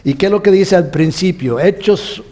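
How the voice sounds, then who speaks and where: A man teaching from the Bible to a congregation, with Costa Rican and Mexican Spanish and a halting manner full of restarts. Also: high voice. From United States, California. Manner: warm, halting with many restarts, chuckles often. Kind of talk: sermon teaching.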